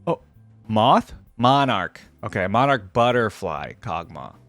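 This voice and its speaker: A man hosting a casual, unscripted reaction video, commenting in a halting, conversational way as he thinks aloud. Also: fairly low pitch, sing-song, fairly bright tone, no room sound. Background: background music.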